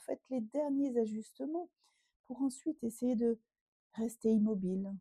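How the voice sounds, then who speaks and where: A woman yoga teacher leading a guided relaxation meditation in a gentle, unhurried, instructing way with pauses. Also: medium pitch, breathy edge, dry recording.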